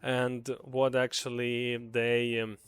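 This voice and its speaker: A man's voice: medium pitch, monotone, takes it slowly, clear, resonant tone. A young man with a Ukrainian accent giving a casual, earnest gaming commentary, hesitant with many fillers and restarts. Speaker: gaming video creator.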